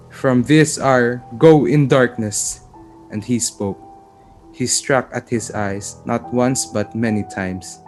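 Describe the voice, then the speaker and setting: A man's voice: medium pitch, darkish tone, lightly acted. Man performing a solemn tragic messenger over music. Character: grave messenger recounting horror in Greek tragedy. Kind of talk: dramatic classical tragedy reading.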